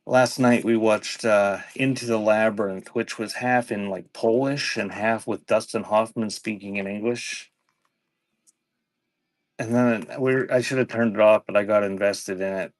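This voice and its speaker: A man with a fairly low voice hosts a casual live selling stream. He rambles informally, thinking out loud and letting sentences trail off before picking up again. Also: steady tone, measured pace. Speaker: live online auction host selling cassette tapes. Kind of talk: casual rambling livestream chat.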